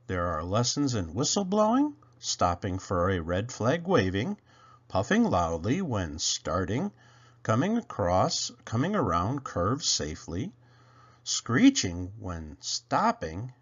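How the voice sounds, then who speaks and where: A man's voice: medium pitch, breathy edge, lo-fi sound. A man reading a children's storybook aloud as narrator, emphatic and drawing out key words. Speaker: storybook reader.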